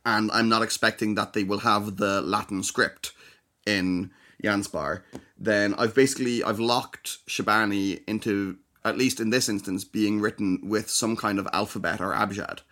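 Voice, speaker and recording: A young man with a fairly low voice talks through his ideas in a relaxed podcast chat. He hesitates a lot, restarting sentences and filling gaps with ums. His delivery is careful and explanatory. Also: normal speed. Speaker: podcast co-host. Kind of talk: casual chat.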